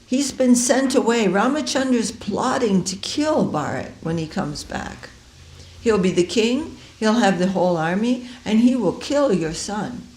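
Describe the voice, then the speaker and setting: A woman's voice: medium pitch, animated, measured pace, a little mellow, natural voice. A woman voicing a scheming female servant who coaxes a queen with insinuations. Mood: insinuating. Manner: coaxing, insinuating. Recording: echoey room.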